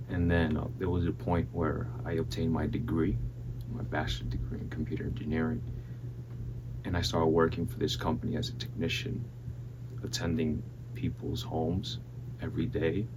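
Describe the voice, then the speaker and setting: A man in his twenties from the Dominican Republic, giving an earnest, halting personal faith testimony in a soft-spoken way. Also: rumbling low. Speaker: church member giving testimony. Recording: steady electrical hum behind voice.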